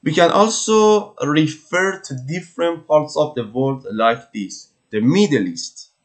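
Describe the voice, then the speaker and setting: A man teaching English vocabulary, going through a textbook slowly and repeating words so learners catch the pronunciation. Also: fairly high pitch. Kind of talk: vocabulary lesson walkthrough.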